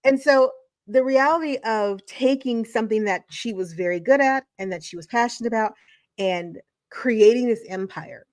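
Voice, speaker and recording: A woman's voice: fairly high pitch, moderate pace, dry recording. A woman educator in her forties or fifties giving emphatic, motivational video commentary, repeating phrases for effect. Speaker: educator and channel host.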